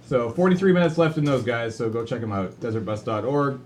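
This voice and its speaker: A man's voice: medium pitch, fairly quick. A man hosting a livestream with casual, ad-libbed chat and a wry, offhand manner. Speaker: livestream host. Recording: clearly noisy room ambience.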